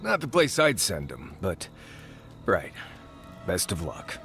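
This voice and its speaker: An actor playing a gruff, sardonic, grumbling companion in a video game, with a dry delivery. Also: male voice, low-pitched, wildly swooping pitch, slow pace, sharp tone, soft background music, heavily acted. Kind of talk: scripted video game dialogue.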